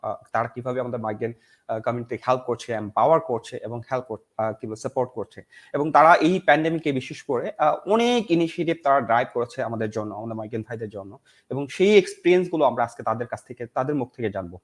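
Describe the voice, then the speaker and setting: A man's voice: medium pitch, expressive, rapid-fire. A man hosting an online panel, full of fillers like "uh" and "you know", now and then switching into Bengali. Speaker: host.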